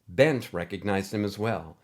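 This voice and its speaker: A man's voice: on the low side, moderate pace. A man reading a scripted adventure story as its narrator.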